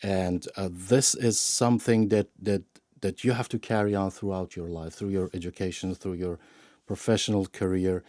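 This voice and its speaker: A man answering interview questions as a media analyst, halting with frequent restarts and fillers. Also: low voice, fairly even intonation, a little mellow, dry recording, studio quality. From Iran.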